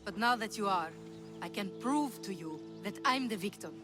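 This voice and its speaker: A woman's voice: mid-range voice, lively intonation, normal speed, lightly acted. An actress playing a bold, self-assured young woman who yearns for heroic glory, in video game cutscene dialogue over music. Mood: determined, hopeful.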